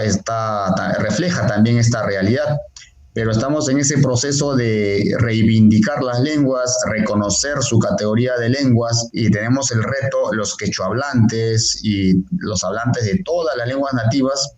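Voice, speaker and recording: A man's voice: lowish voice, a little mellow. Earnest man on an echoey call. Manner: earnest, with many "eh" fillers and restarts. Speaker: quechua teacher and translator, guest. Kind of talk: interview over a call, explaining. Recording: echoey, dull lo-fi call audio.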